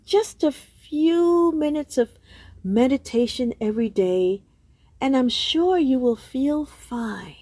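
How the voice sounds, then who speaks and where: A polite, composed woman in a radio comedy. Her voice is high and lilting, with a very dry sound. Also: lively intonation, slow, mellow, dry recording, full character voice. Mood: soothing. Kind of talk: radio play acting.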